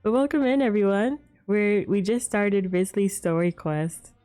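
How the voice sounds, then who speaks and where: A woman's voice: medium pitch, a little slow, warm tone, faint music behind. A woman hosting a casual gaming livestream, chatting with viewers in a bubbly, giggly way. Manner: bubbly greetings, giggles often. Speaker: live streamer host.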